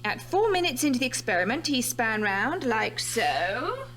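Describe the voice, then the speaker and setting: Brisk, high-voiced woman scientist. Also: sing-song, fairly bright tone, a little hiss or hum. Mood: methodical. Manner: analytical, dismissive, insistent. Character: brisk, sceptical female scientist reviewing a death. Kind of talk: audio horror drama acting.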